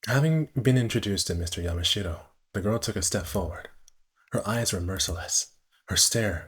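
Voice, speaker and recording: Low-voiced man streaming, slightly husky. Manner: stumbles over words and rereads phrases while narrating. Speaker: streamer reading a visual novel aloud. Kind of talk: amateur read-aloud narration with casual commentary.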